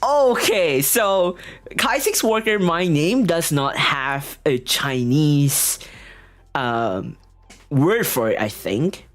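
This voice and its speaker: A woman's voice: low-pitched, expressive, slow, faint music behind. A young woman VTuber chatting casually with her live chat. She giggles often, talks with many fillers and restarts, and sounds self-conscious. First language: Mandarin. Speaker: VTuber streamer.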